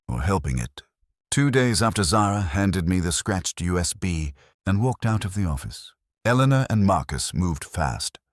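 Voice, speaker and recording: A man with an extremely low-pitched voice delivers scripted dramatic narration in a measured, deliberate way. His intonation moves widely up and down. The sound is dry and close, with no room around it. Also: husky, no echo. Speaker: story narrator. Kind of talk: scripted dramatic first-person audiobook-style narration.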